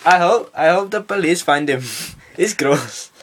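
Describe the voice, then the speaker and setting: A man's voice: a little high, animated, measured pace, a bit bright. A young man, a podcast co-host who cracks jokes. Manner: jokey, wisecracking. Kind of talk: casual chat. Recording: faint hiss and clicks.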